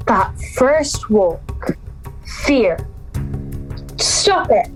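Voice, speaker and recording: Anxious, defiant schoolgirl young woman acting, self-questioning, slow delivery, audio drama with music underneath. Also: on the high side, sing-song, exaggerated voice, low-quality recording. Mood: resolute. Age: teenage or young adult. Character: anxious defiant schoolgirl, Little Red, hiding in woods. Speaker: youth theatre actor. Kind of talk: audio drama monologue and dialogue.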